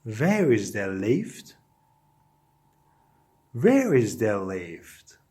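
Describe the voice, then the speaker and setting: A man reading short English phrases aloud as a language teacher, saying them deliberately as separate, repeated phrases. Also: lowish voice, sing-song, mellow, slightly rough.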